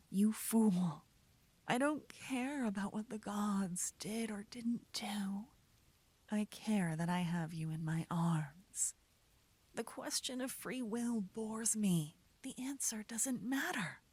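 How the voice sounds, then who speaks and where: A devoted, playfully teasing fantasy adventurer , acted by a woman, speaks to her beloved in a soft, breathy voice that often slips into whispers. Her delivery is slow, intimate and soothing, in romantic ASMR roleplay style. The sound is very dry and close to the microphone. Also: mid-range voice, dry recording.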